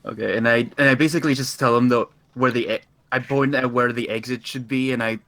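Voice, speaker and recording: Male tabletop player, mid-range bright voice, casual conversational roleplay. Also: medium pitch, fairly even intonation, quick pace.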